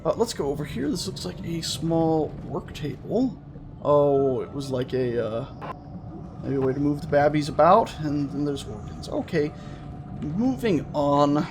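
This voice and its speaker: A man's voice: fairly high pitch, a little slow, background noise. A man giving casual, rambling let's play commentary, often interrupting himself with playful wording. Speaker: gaming video host. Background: game music.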